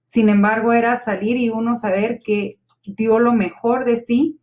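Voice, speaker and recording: A woman's voice: a little high, fairly even intonation, unhurried, darkish tone. A woman speaking reflectively as a guest in a television talk-show discussion, often restarting her phrases. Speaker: panel guest.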